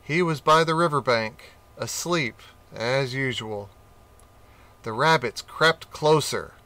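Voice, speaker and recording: Slow, bright-voiced male storybook reader. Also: mid-range voice. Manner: slow, expressive reading with character voices. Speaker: narrator reading a children's picture book. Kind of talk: storybook read-aloud.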